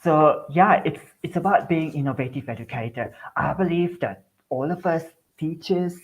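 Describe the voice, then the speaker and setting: A man's voice: a little high, moderate pace, poor audio. A man from Sabah, Malaysia, an English teacher giving a friendly webinar talk over a video call. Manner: friendly, plain presenting with small restarts. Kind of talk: online webinar presentation.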